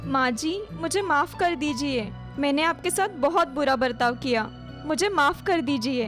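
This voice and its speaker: Woman playing a jealous, high-voiced young daughter-in-law. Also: animated, measured pace, fairly bright tone, faint music behind. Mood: remorseful.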